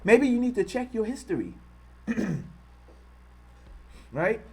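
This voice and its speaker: An American man hosting a live-streamed commentary, passionately hammering repeated rhetorical questions and pausing to thank viewers. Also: extremely high pitch, sing-song, slightly rough. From United States. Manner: impassioned, repetitive rhetorical questions. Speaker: online channel host.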